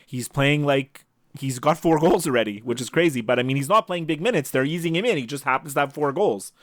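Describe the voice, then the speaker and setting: A man's voice: fairly high pitch, fairly quick, no echo. A man co-hosting a casual sports podcast, chatting on a close mic over a video call.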